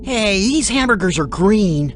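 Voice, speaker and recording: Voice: mid-range voice, expressive, measured pace, a little hiss or hum, natural voice. An actor playing a laid-back, food-loving, wisecracking young guy in an educational cartoon game. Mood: eager. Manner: playful, wry.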